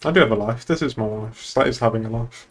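A man's voice: medium pitch, fast talker. A laid-back, joking man chatting casually while roleplaying in an online game. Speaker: streamer playing a police boss in an online roleplay game.

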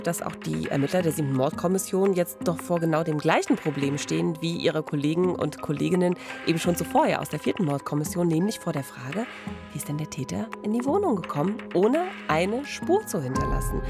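A woman's voice: lowish voice, vocal fry. A young adult woman co-hosting a podcast with conversational questions and comments, close on a studio mic. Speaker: podcast co-host. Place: small radio studio. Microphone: close studio boom mic with pop filter.

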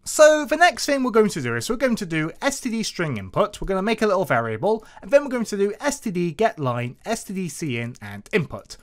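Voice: medium pitch, very animated, brisk, few pauses. A tutorial host narrating a scripted programming lesson step by step in an instructional manner. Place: voice-over to a screen recording.

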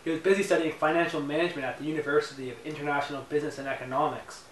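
Young guy doing a stiff polite mob advisor, kinda high voice, echoey and far off. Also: steady tone, racing, lightly acted. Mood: deferential. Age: young adult. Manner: formal, polite, briefing-like. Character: young male advisor Osric, formal and deferential. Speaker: actor in an amateur mob drama. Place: living room in a house. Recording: roomy room audio from a far camera, faint hiss.

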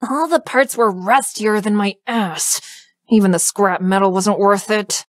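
A woman's voice: mid-range voice, unhurried, no echo, clean studio sound, lightly acted. A woman voicing a brash, cocky young treasure hunter in a visual novel, speaking bluntly. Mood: gruff, offhand. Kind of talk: visual novel character voice acting.

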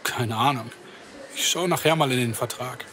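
Man playing a sulky, sharp-voiced young man. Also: mid-range voice, normal speed, a little hiss or hum, close to an everyday voice. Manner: curt, exasperated. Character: irritable, sulky young man, blunt with his friends. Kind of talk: audio drama acting.

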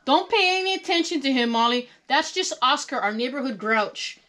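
A woman's voice: sits high, lively intonation, normal speed, pushed and bright, pitched above the performer's own voice. A woman voicing a playful male puppet in a children's storybook, cheerful, cheeky and teasing. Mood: friendly.

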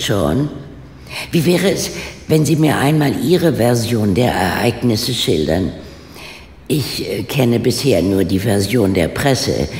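Creaky, proper older lady detective. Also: extremely low pitch, darkish tone, in a large hall, full character voice. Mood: probing. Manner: formal, measured, deliberate wording. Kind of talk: German radio play acting.

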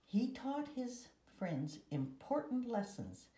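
A woman in her seventies reading a children's picture book and scripture aloud, carefully and in measured short phrases. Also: fairly high pitch, big pitch swings, dark tone. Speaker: guest story reader. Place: room at home. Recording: roomy echo.

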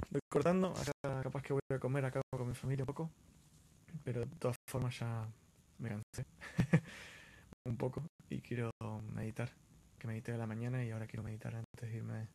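A man's voice: mid-range voice, a little mellow, slight background noise. A man with an Argentine Spanish accent, talking casually and earnestly as the host of a live stream, often repeating words for emphasis.

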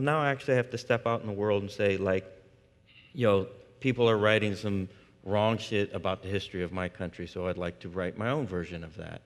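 A man in his forties with a low voice asks long, searching questions from a theater stage, circling back as he thinks. A long hall reverb trails his words. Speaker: onstage interviewer. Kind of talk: thoughtful, rambling questions.

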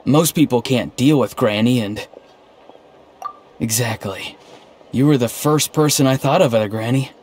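An actor playing an earnest, odd, melancholy young man in a video game, speaking in a halting, stiffly sincere deadpan. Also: mid-range voice, slight background noise, close to an everyday voice. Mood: gloomy, pleading. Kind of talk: video game dialogue acting. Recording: game music underneath. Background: background game music.

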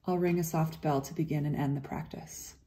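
A woman with a very soft, dark, relaxed voice guides a meditation. Her pitch sits low, and she speaks gently and without hurry, leaving long, soothing pauses between phrases.

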